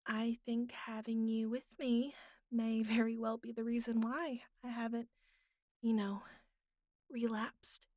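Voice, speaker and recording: A woman playing a bratty but vulnerable young captive in an intimate audio roleplay, speaking haltingly with pleading, pouty turns and soft breathy asides. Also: on the high side, little pitch movement, dry recording, clean studio sound, lightly acted. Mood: soft, content.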